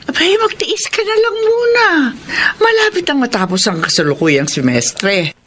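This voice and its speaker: Older Filipina mother, animated. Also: high-pitched, normal speed, a little hiss or hum. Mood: soothing, advising. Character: older mother, patient, advising, eager for grandchildren. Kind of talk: Filipino radio drama acting.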